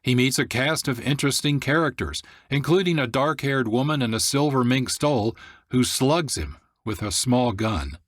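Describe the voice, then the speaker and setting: A man's voice: mid-range voice, lively intonation, moderate pace, fairly bright tone, dry recording, studio quality. A man presenting a radio show as host from a script. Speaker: producer and host. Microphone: close, dry studio microphone.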